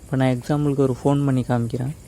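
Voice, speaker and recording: A man's voice: medium pitch, level tone, racing, dark tone, a little hiss or hum. A man gives a casual step-by-step tutorial, addressing viewers directly and mixing in English words. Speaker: tech tutorial host.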